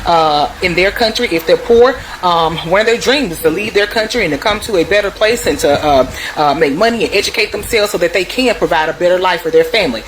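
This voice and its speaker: Low-voiced American woman over clear background noise. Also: fairly quick, few pauses, poor audio. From United States. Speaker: video host. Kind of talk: casual storytelling and opinion to viewers.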